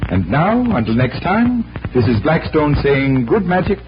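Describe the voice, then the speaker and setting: A man's voice: fairly high pitch, sing-song, moderate pace. An actor playing a confident, genial magician-detective who explains things like a showman, in vintage radio drama style. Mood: explanatory, genial. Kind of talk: 1940s radio drama acting. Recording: muffled old radio recording with hiss.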